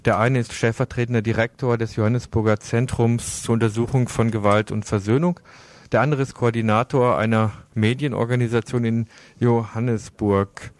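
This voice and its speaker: A man's voice: medium pitch, steady tone, moderate pace, darkish tone, no echo. A man reading scripted news items as a radio presenter in a studio.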